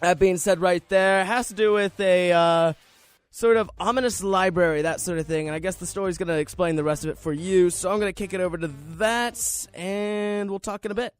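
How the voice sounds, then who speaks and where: A man's voice: high-pitched, fairly even intonation, a little fast, clean tone. A man hosting a radio show with casual, rambling, slangy chat.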